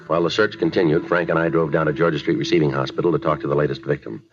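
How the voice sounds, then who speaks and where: An actor playing a terse, deadpan American police detective in a 1950s radio drama, speaking in a clipped, procedural way. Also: male voice, rumbling low, very fast, over music. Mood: deadpan, factual. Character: terse, matter-of-fact male police detective narrating a case. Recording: dull 1950s radio recording.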